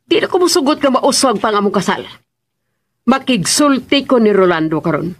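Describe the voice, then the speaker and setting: A woman's voice: a little high, lively intonation, moderate pace. An actress playing an agitated, scheming middle-aged mother in a melodramatic radio drama, emphatic and exclamatory. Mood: indignant.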